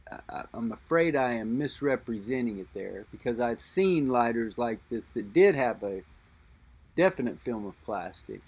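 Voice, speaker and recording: A middle-aged man talking unscripted about a vintage lighter he holds, musing aloud and pausing to think as he describes it. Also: medium pitch, animated, darkish tone, husky. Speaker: lighter collector and reviewer. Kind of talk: unscripted show-and-tell narration.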